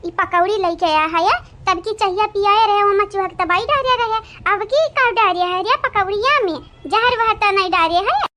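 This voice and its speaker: An actor with an Awadhi accent voicing a chattering, dramatic village woman in a comic cartoon, in a childlike squeaky voice. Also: pushed and bright.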